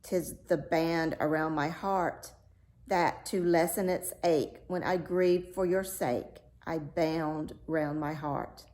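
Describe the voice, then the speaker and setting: Woman reading as a slow, earnest faithful servant. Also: low voice, steady tone, a little mellow. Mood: earnest, reassuring. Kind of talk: storybook read-aloud character voice.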